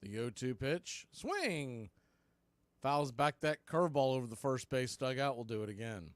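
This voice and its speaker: A man doing live baseball play-by-play on radio, speaking in clipped phrases with pauses between calls. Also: mid-range voice, animated, no echo.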